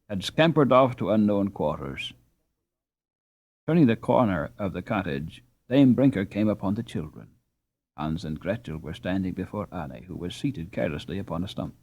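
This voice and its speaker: A man narrating a classic children's novel in a measured, expressive reading. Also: on the low side, dry recording, dull audio. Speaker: audiobook narrator. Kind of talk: scripted storybook narration.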